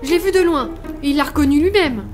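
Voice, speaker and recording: A woman's voice: high voice, lively intonation, fast, over music. A woman acting a defensive ski-resort employee in an amateur French audio drama. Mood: indignant denial. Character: defensive female ski-resort staffer caught faking sabotage.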